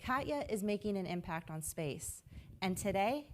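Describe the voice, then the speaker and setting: A woman's voice: medium pitch, lively intonation, normal speed, slight background noise. A woman co-hosting a live launch broadcast, introducing crew with upbeat presenter delivery. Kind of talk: scripted live broadcast commentary.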